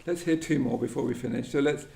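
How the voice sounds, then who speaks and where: A man's voice: medium pitch, quick pace, creaky, darkish tone. A man hosting a literary discussion, speaking reflectively in tentative, thoughtful phrases that often end in a questioning tag. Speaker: host of a poetry event. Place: echoey indoor venue at a live event. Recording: roomy echo, faint background noise.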